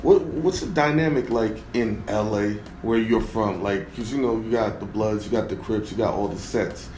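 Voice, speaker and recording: A man's voice: lowish voice, moderate pace, a little mellow, roomy sound, a little hiss or hum, rough recording. A man hosting an interview, asking easygoing questions full of fillers. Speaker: interview host.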